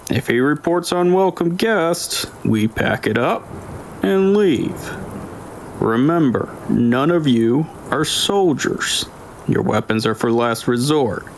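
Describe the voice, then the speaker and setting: Man voicing a stern, gravelly older crew leader. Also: mid-range voice, lively intonation, a little hiss or hum, pitched above the performer's own voice. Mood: firm, briefing. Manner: commanding, deliberate instructions. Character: aged, authoritative male crew leader, stern and cautious. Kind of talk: dramatised audiobook dialogue.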